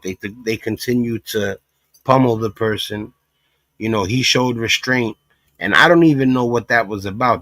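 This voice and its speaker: A man's voice: mid-range voice, sharp tone, dry recording. A man from Puerto Rico hosting a casual reaction livestream, talking emphatically with frequent asides. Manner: emphatic, talks in repeated asides. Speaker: livestream commentary host.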